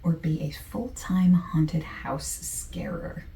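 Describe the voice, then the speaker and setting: A woman playing a friendly, easygoing survey taker in a softspoken, partly whispered ASMR roleplay, with gentle, chatty asides. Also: low voice, slow pace, reverberant, distant mic, low-quality recording. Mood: gentle, casual. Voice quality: soft, breathy, partly whispered.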